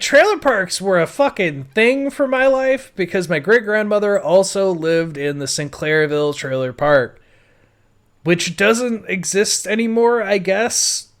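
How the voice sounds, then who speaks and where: A man's voice: high voice, big pitch swings, normal speed, a bit bright. An American man telling his life story casually as a podcast host, rambling and swearing freely. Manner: rambling, foul-mouthed.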